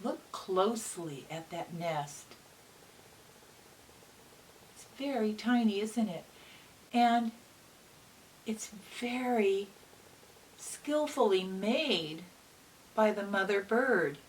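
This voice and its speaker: A woman reads to young children at an unhurried, slow pace, her pitch swinging widely for expression. She sounds like a gentle teacher, pausing to ask her listeners questions. The recording is picked up from a distance, with a faint hiss. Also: expressive. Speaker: teacher reading a picture book aloud to children.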